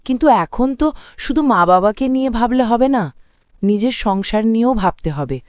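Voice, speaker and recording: Cartoon casting: a woman as gentle elderly mother, mid-low for a female, smooth, motherly, dry recording. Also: lively intonation, brisk, no echo, lightly acted. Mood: tender, resigned. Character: gentle, self-sacrificing elderly village mother. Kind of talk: dramatized cartoon voice acting.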